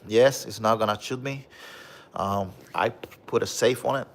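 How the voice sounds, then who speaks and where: A man with a Puerto Rican accent hosting a casual livestream, reading viewer comments and showing off his collection, with a relaxed manner and frequent "bro" and "okay?". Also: on the low side, normal speed, creaky. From Puerto Rico. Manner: relaxed, repeats "bro" and "okay?", many fillers.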